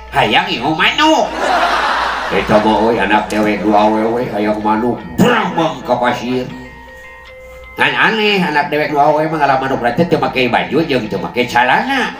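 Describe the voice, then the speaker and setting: A man's voice: mid-range voice, a bit bright. A man with a Sundanese accent tells comic tales in rhymed patter, playful and chuckling as he goes. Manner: playful, chuckles as they talk. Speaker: comic storyteller, show host. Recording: echoey amplified sound with music behind. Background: music.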